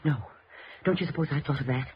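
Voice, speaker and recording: Shaken, halting young radio-drama woman. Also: deep voice, breathy edge. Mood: anxious, insistent. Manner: halting, breaking off and repeating words. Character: shaken, anxious young woman, grieving and suspicious daughter. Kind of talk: 1950s American radio drama acting. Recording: dull, noisy old radio recording.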